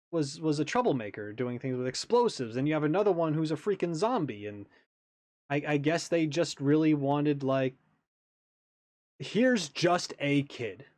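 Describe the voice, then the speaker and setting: A man's voice: a little high, dry recording. A man hosting a gaming reaction video, thinking aloud in casual, tentative, improvised commentary. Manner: tentative, musing.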